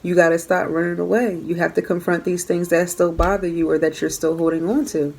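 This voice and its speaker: A woman giving a casual tarot reading to her viewers, talking earnestly and repeating her phrases, her voice going hoarse. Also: lowish voice, level tone, fairly quick, darkish tone, slight background noise. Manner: earnest, repeats phrases while coaching the listener. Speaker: tarot reader.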